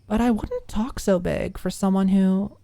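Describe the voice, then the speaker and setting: A woman as a condescending, biting college girl, pitched up. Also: measured pace, vocal fry, mellow. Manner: condescending, taunting.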